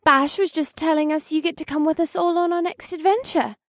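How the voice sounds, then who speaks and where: A woman acts out a bubbly, girlish young wife from a fantasy tale. Her voice sits high and sounds perky and playful. It is recorded very dry. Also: steady tone, brisk, dry recording. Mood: curious, playful. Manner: giggly, bright-eyed, perky. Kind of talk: acted audiobook dialogue.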